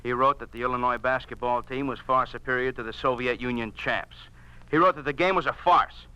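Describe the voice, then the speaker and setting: An actor playing an angry, stubborn sports editor in a 1950s radio drama, forceful and insistent, hammering each point. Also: male voice, mid-range voice, a little fast, clear, resonant tone, no echo, natural voice. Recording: muffled old radio recording.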